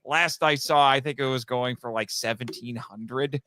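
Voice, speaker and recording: A man's voice: mid-range voice, moderate pace, pushed and bright. A man talking casually as a guest on a fan podcast, telling stories and acting out quoted remarks.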